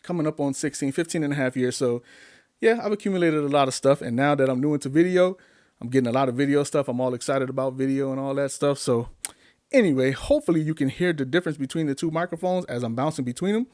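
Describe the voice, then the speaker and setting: A man's voice: medium pitch, quick pace, a little mellow. Chatty upbeat man reviewing mics. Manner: upbeat, chatty, rhetorical questions. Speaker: YouTube tech reviewer. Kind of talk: casual vlog talk to camera. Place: home studio with gear behind. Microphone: desk-mounted condenser mic on an arm.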